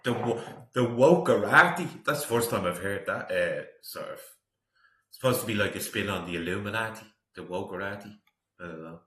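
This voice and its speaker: Low-voiced man commentating in an echoey room. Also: expressive, brisk, sharp tone. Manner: opinionated, disapproving. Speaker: reaction video host. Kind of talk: casual off-the-cuff commentary. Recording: roomy, echoey sound.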